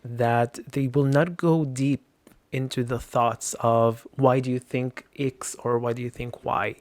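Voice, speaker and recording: A man's voice: mid-range voice, no echo. Hesitant man from Lebanon, dry sound. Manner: hesitant, many fillers. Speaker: guest. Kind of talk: casual interview talk.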